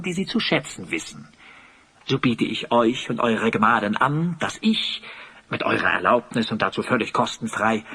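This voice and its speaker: A man playing a vain, scheming master sculptor in a fantasy audio drama, speaking with flattering, theatrical grandeur. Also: medium pitch, breathy edge, full character voice.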